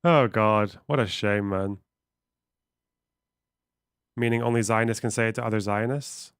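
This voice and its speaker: A man's voice: lowish voice, steady tone, measured pace, clean tone, dry recording, clean studio sound. A man streaming, reading text aloud and musing on it casually, swearing offhandedly. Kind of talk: casual livestream commentary.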